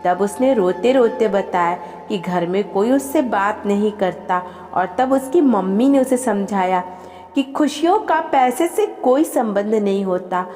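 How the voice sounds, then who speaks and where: A woman reading a scripted, emotional family story as an expressive storyteller. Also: medium pitch, a little slow. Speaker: storyteller and channel host. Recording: long reverb with music behind. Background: background music.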